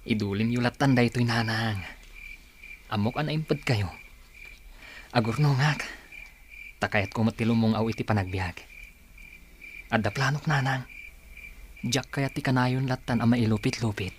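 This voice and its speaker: A man's voice: medium pitch, unhurried, pauses often, noisy background, natural voice. A man with a Filipino accent playing a dutiful, humble son with big dreams in a radio drama, speaking earnestly and respectfully. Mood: subdued, dutiful.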